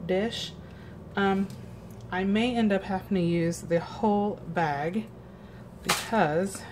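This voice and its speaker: Slow-talking woman in a noisy kitchen. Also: on the low side. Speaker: home cooking vlogger. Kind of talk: casual cooking demonstration. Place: home kitchen, indoors. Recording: noisy home kitchen recording.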